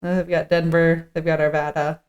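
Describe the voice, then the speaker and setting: Lower-pitched woman, casual podcast co-host. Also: little pitch movement, brisk, highs cut off. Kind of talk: casual co-hosted podcast chat, partly read from notes.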